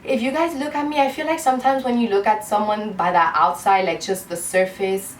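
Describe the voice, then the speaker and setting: A woman's voice: mid-range voice, fairly quick. Woman in her twenties, roomy distant sound. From Cuba. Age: 20s. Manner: rambling, candid and heartfelt. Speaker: beauty vlogger. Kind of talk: casual chat to camera. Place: room at home. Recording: roomy echo, off-mic, faint hiss.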